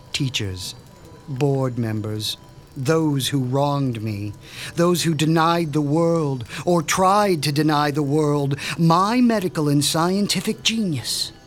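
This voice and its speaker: A man playing a sinister, pompous mad scientist who boasts of his revenge, in a radio drama, with faint music underneath. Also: fairly high pitch. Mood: menacing. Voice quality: measured, menacing, pompous. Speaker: Dr. Stein.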